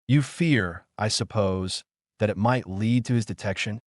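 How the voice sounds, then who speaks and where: A man voicing a concerned, grave gentleman lawyer in an audiobook, formal and questioning. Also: lowish voice, a little slow, no echo, clean studio sound, close to an everyday voice. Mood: probing. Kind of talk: dramatic audiobook character reading.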